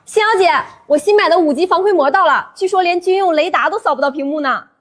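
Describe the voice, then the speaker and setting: A woman playing an eager, chatty junior colleague in an animated short drama. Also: very high voice, quick pace, a little hiss or hum.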